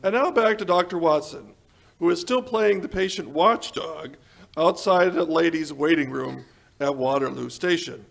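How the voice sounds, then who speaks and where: Casting: male old-time radio announcer, gravelly edge on a fairly high voice, polished sales patter and narration. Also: normal speed, faint music behind. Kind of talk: 1940s radio advertisement and narration.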